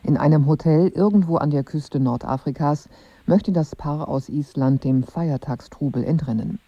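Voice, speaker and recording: Radio presenter, scripted and composed, very mellow timbre, mid pitch, dry close studio sound. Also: moderate pace, no room sound, muffled recording. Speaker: radio announcer introducing a drama. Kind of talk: scripted narration, measured.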